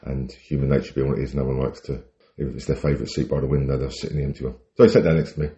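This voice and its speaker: A man's voice: extremely low pitch, little pitch movement, racing, mellow, dull audio. A British man chatting casually as a podcast co-host, telling anecdotes in a wry, rambling way.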